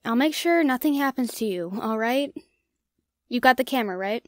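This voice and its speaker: Woman acting brash young ghost hunter, teasing, higher voice, dry close mic. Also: lively intonation, normal speed, dry recording, lightly acted. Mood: reassuring. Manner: brash, teasing, talks herself up. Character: cocky young woman ghost-hunting vlogger. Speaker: voice actor in an audio drama. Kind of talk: audio drama acting.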